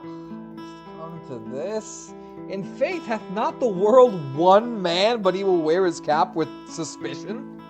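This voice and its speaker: A woman's voice: on the low side, expressive, slow, over music. Woman playing a swooping, sardonic bachelor soldier. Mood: incredulous. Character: witty, scornful young male soldier, sworn bachelor. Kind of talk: Shakespearean comedy radio play.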